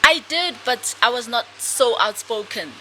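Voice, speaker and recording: A young woman from South Africa, a guest author answering interview questions in a soft, hesitant way with many fillers. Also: on the high side, lively intonation, measured pace. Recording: noisy podcast recording.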